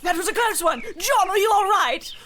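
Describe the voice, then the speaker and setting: A woman's voice: extremely high pitch, normal speed, very noisy. An actress playing a brash, imperious huntress who barks orders at her assistant in a comedic radio play. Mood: bossy. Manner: imperious, impatient, barking orders. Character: brash bossy woman big-game huntress.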